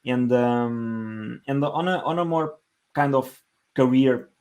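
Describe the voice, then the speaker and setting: A man from Portugal with a Portuguese accent, giving conversational interview answers as a podcast guest, halting and repeating words as he goes. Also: mid-range voice, darkish tone. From Portugal, Lisbon area. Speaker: podcast guest, engineering manager.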